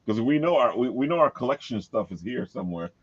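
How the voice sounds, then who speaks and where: A man's voice: mid-range voice, very animated, quick pace, slightly rough, no echo, highs cut off. A man chatting casually and informally in a conversation. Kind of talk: casual chat.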